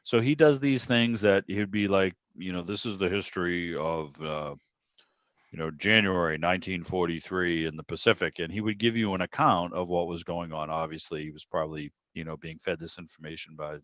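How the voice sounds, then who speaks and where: Middle aged guy, lowish voice, hosting a casual club video call, bit muffled and roomy. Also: fairly even intonation, normal speed, fairly bright tone. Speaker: host of a club meeting. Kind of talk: informal interview, conversational and reflective. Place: online video meeting.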